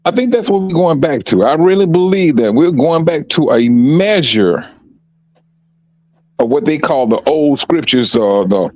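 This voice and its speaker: A man from Chicago talking in a measured, reflective way, often repeating himself as he gathers his thoughts. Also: fairly high pitch, expressive, darkish tone, no room sound, muffled recording. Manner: measured, repeats words as he thinks. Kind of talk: casual reflective chat.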